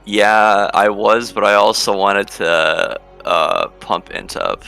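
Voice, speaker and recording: A man's voice: on the low side, takes it slowly, creaky, pushed and bright, clean tone, soft background music. A man talking casually as a player in a tabletop role-playing game.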